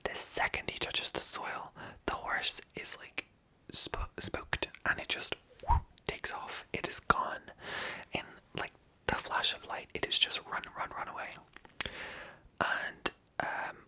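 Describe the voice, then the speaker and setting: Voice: pushed and bright, poor audio. A storyteller retelling an old legend in a casual, chatty whisper.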